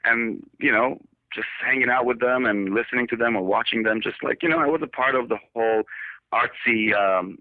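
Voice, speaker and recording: A man's voice: medium pitch, brisk, no echo. A man from Israel, a singer guest on a radio interview, talking in a hesitant way with many ums and restarts. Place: studio. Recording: tinny phone line.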